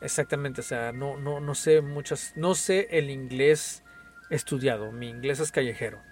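A man's voice: medium pitch, moderate pace, a little mellow, soft background music. A young Mexican man with a Mexican accent, chatting casually on a podcast, full of slang and swearing. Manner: slangy, swears a lot, says "güey" constantly. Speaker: podcast co-host. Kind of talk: casual chat.

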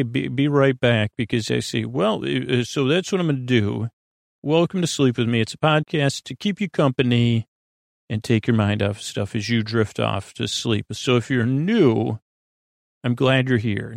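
A man with a creaky voice hosting a bedtime podcast in a soothing, lulling, meandering monologue that halts and drifts into tangents. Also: medium pitch, no echo, studio quality. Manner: meandering, halting, trailing off into tangents and repeats. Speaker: host of a bedtime story podcast. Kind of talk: rambling bedtime monologue to lull listeners to sleep.

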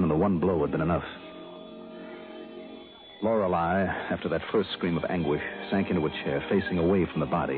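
Man playing smooth private investigator, deep voice, matter-of-fact, vintage radio drama, tinny broadcast sound. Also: natural voice. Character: wry, smooth private investigator narrating his case. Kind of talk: 1960s radio detective drama, narration and dialogue. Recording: muffled old radio broadcast, phone-like band.

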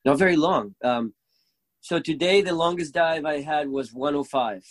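A man with a Venezuelan accent, a native Spanish speaker, chatting casually as a guest and telling stories excitedly, swearing freely. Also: a little high, normal speed, no room sound. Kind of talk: casual chat.

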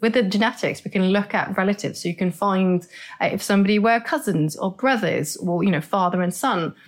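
A middle-aged woman from Norway, speaking as an expert guest in a conversational interview and explaining with lively enthusiasm. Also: mid-range voice, a little fast. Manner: enthusiastic, explanatory, repeats words.

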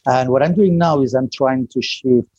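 Low-voiced man, self-deprecating video call chat. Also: mellow, muffled recording, a little hiss or hum. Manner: chatty, self-deprecating, halting restarts. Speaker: guest. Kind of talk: casual online interview.

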